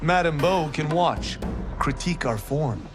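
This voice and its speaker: This is a restless, boastful young fighter in a game cutscene. His pitch swings a lot and he takes his time with lines. Game soundtrack music plays behind him. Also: lively intonation, unhurried. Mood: cocky. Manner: boastful, teasing. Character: young male monk-farmer, cocky and restless. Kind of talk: video game cutscene acting.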